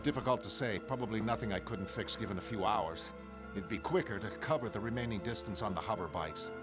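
An actor playing an earnest, reasonable, worried costumed hero in an animated comic, a man with a conversational delivery. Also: lowish voice, fast, over music, natural voice. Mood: practical. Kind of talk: animated comic drama acting.

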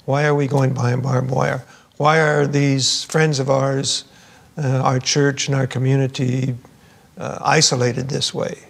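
An older man slowly recalling his memories in a vivid way. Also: medium pitch, flat delivery, creaky. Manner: slow, vivid storytelling. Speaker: interviewee.

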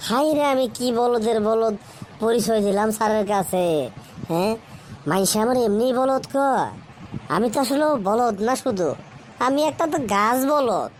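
A woman's voice: fairly high pitch, slight background noise, close to an everyday voice, rough recording. A woman voicing a dim-witted, eager schoolboy in a comic cartoon, with a rural Bangladeshi Bengali accent and a repetitive, muddled manner. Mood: rueful. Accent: Bangladeshi Bengali, rural colloquial. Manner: slow, repetitive, keeps seeking confirmation.

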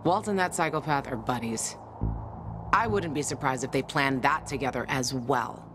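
Hardened woman fighter, game drama: deep for a woman, sardonic, blunt, over music. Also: moderate pace. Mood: sardonic, scornful. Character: tough, jaded, blunt woman survivor fighter. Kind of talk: video game dramatic acting.

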